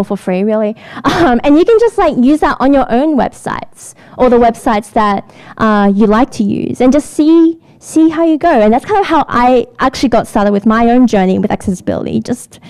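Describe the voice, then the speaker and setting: Upbeat woman at a tech meetup, smooth voice, kinda rambly, lots of "like". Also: fairly high pitch, a little mellow. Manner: casual, filler-heavy, upbeat. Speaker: software engineer giving a talk. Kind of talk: conference lightning talk. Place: event hall with an audience.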